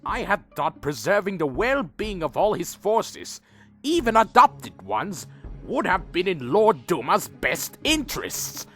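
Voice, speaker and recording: Man playing a high, proud vampire leader. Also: big pitch swings, unhurried, fairly bright tone, soft background music, exaggerated voice. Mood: indignant. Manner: dramatic, earnest. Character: proud, indignant winged vampire leader, troubled and defiant. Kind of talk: first-person scripted narration and audio drama acting.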